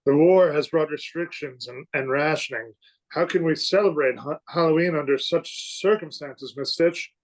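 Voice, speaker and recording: A man plays an earnest small-town biology teacher in a radio play. The voice is a little high for a man. He speaks as a mild idealist on the defensive. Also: normal speed, lightly acted, rough recording. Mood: worried. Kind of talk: amateur radio play acting.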